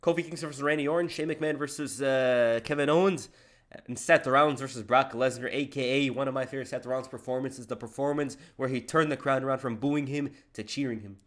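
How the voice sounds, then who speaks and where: A man's voice: mid-range voice, fairly even intonation, quick pace, a bit bright. A man streaming live, reacting to a wrestling video and reading out donations in loose, slangy chatter. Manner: loose slangy chatter, says bro a lot.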